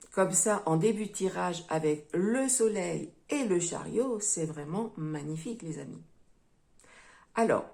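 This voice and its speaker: A woman gives a tarot and astrology reading straight to her audience, calling them her friends. She takes her time, and her delivery is slow and mellow. Small hesitations thread through her explanations. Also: mid-range voice. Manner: gentle, unhurried, with frequent hesitations; addresses viewers as friends. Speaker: tarot reader.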